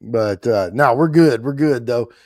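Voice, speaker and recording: Livestream host, male, mid-pitched, hoarse and strained, rambling folksy chat, keeping his volume down. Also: measured pace, a little mellow, no room sound, clean studio sound. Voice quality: rough, strained voice. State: hoarse, worn out after allergy attack. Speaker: host of a whiskey giveaway livestream.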